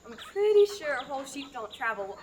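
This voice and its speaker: A woman's voice: high voice, very animated, a little fast, a little hiss or hum. A young woman in a student radio drama, playing a dry-witted farm woman. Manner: wry, dry humor.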